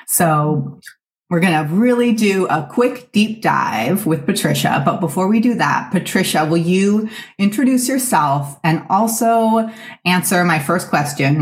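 Woman host with a deep voice, earnest and encouraging. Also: expressive, a little slow, slightly rough. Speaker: podcast host. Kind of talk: podcast host intro and interview.

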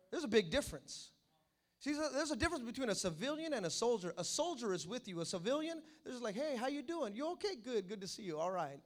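A man preaching a sermon as pastor, animated, addressing the congregation with call-and-response asides. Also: sits high.

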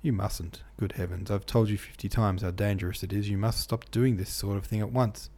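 A man's voice: on the low side, steady tone, fairly quick, dark tone, husky. A man reading the part of an anxious young man who speaks urgently and impatiently in a storybook reading. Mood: reproachful.

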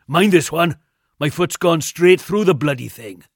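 Casting: male reader as taunting British hard lad, husky, menacing, drops into whispers, storybook villain. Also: medium pitch, expressive, unhurried, no room sound, clean studio sound, full character voice. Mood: brash, loud. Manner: sinister, teasing, lingering, often dropping to a whisper.

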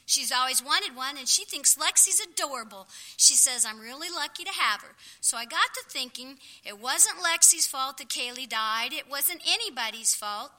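An upbeat young daughter acted by a woman in a comic radio play. Her voice is high and extremely bright, chatty and youthful. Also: animated, normal speed, few pauses, heavily acted. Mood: earnest. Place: live stage performance at an audio theatre festival.

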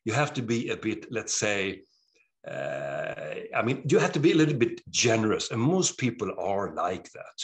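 A middle-aged man with a Swedish accent, an author talking in an interview, earnest and animated, often restarting his sentences. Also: fairly low pitch, moderate pace. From Sweden. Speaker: author guest. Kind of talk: interview conversation.

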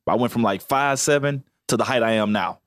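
A man co-hosting a talk show, telling stories about his teens in a fast, animated way. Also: medium pitch, dry recording, studio quality. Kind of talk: casual chat.

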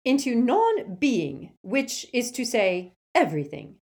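A woman voicing a stern older female professor who speaks in a crisp, measured, icily proper manner. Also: fairly high pitch, very animated, darkish tone, voice pushed up. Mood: sharp.

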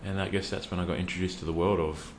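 Relaxed Australian man, deep voice. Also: fast talker, slight background noise. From Western Australia. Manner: relaxed, laid-back, many fillers. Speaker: podcast guest, youth worker. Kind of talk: casual interview talk. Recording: echoey room.

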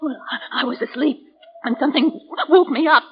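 An actress playing a fragile, terrified widow in 1940s radio style, stammering and pleading breathlessly. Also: female voice, high voice, moderate pace, slight background noise, lightly acted. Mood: frightened, pleading. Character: terrified, fragile widow haunted by her drowned husband. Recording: dull lo-fi 1940s radio recording.

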